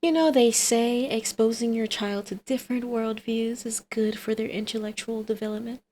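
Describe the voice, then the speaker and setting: Woman acting a teasing, flirty villainess, higher-pitched voice. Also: steady tone, moderate pace, a little mellow, close to an everyday voice. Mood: flirty, sardonic. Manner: teasing, coaxing, sardonic. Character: flirty, teasing female villain and doting mother. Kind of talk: ASMR roleplay acting.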